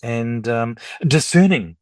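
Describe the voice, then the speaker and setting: A man's voice: mid-range voice, expressive, takes it slowly, darkish tone, dry recording, studio quality. A man in his fifties, a record dealer and collector, chatting as an interview guest in a rambling, upbeat way. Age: 50s. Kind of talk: casual interview chat.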